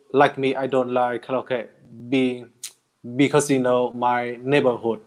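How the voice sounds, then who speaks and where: A man speaking English as a learner, halting and hesitant, restarting words often in casual chat. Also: medium pitch, darkish tone, poor audio. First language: not English. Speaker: English learner in conversation practice.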